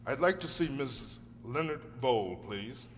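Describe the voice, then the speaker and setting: A man's voice: mid-range voice, expressive, natural voice. Man acting an earnest lawyer in an echoey hall. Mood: courteous. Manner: formal, measured, polite. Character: earnest, formal, courteous defence lawyer. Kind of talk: radio drama acting. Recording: echoey hall, faint noisy hum.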